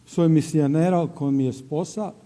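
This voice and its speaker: A middle-aged man with a Croatian accent speaks slowly, in broken Spanish, often stopping to find a word and slipping into English now and then. His voice is mellow and sits a little high for a man. It rings with the long echo of a church hall. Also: fairly even intonation. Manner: halting non-native Spanish, searching for words, slipping into English. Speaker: missionary. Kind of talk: church greeting and testimony. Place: church sanctuary.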